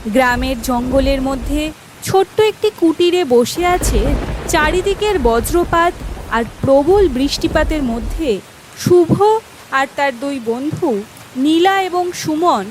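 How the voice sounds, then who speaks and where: A woman's voice: high-pitched, expressive, slow pace, slight background noise, poor audio. A woman reading scripted narration for a cartoon story.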